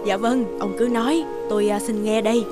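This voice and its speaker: A woman's voice: medium pitch, steady tone, brisk, close to an everyday voice. A woman voicing a kindly, trusting village housewife in a cartoon folk tale, polite and solicitous. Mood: curious, polite. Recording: music behind the voice.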